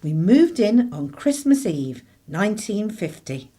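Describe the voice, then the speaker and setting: A woman's voice: medium pitch, big pitch swings, a little slow, dark tone, slightly rough. A woman playing a practical, plain-speaking 1950s mother in a radio play. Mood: reflective narration. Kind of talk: radio play acting and narration.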